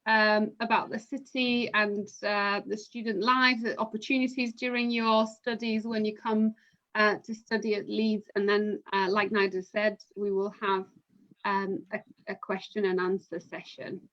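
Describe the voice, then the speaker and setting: A woman from Poland, a university recruitment manager, speaking in a measured, hesitant way with many fillers during a live admissions session from home over a video call. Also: medium pitch, level tone, fairly bright tone. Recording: muffled lo-fi online call audio.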